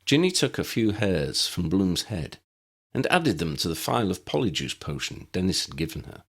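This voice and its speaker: A man narrates an audiobook from a script. His voice is very low, and his pitch moves in a lively way as he reads the story. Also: moderate pace, creaky, breathy edge.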